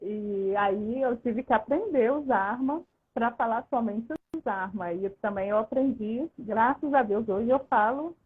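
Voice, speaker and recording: A woman's voice: fairly high pitch, husky. A Brazilian woman, a guest on a video call, telling her story at length with many restarts. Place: abroad, far from the host. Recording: tinny phone-like line.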